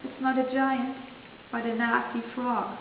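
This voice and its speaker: A woman's voice: a little high, level tone, a little slow, slight background noise. Lady doing a snooty young storybook princess, petulant, tinny sound in a big echoey hall. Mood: uneasy. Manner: haughty, petulant. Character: young spoiled princess, disdainful and tearful.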